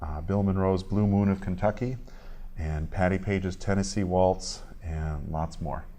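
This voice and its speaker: A man with a low voice speaks as an interview guest, explaining a stage production. His delivery is measured, with brief halting pauses as he lists and describes things. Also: fairly even intonation, dark tone. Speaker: theatre director interviewed as guest.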